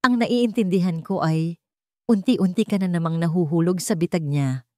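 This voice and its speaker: Woman voicing a worried, insistent man, mellow. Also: on the low side, normal speed, no echo, studio quality, natural voice. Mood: firm warning. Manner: firm, insistent. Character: worried, jealous man warning the woman he loves.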